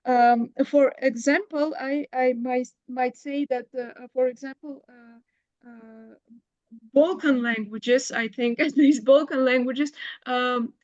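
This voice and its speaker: A woman's voice: sits high, steady tone, slow pace, a little mellow, no echo, highs cut off. A middle-aged woman, a lecturer answering audience questions, hesitant with many fillers and restarts. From Bulgaria. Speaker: guest lecturer. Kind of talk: academic Q&A answer.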